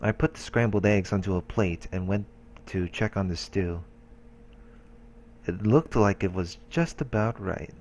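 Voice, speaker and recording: Low-voiced guy reading out a visual novel, tosses in little comments, faint hiss behind. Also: measured pace. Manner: steady reading with casual asides. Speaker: let's play host. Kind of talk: reading game text aloud.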